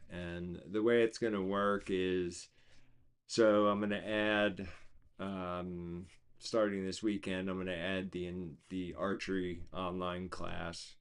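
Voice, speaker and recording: A man hosting a casual livestream, rambling with many fillers and restarts, his voice gone hoarse. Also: sits low. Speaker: host of an online reading series.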